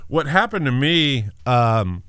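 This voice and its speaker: A man who is a guest on a podcast, a comedian and punk singer, talking loudly and easily in casual conversation and often laughing. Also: medium pitch, sing-song, slow pace, clean tone, dry recording, studio quality. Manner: loud-voiced, laughing, easygoing storyteller.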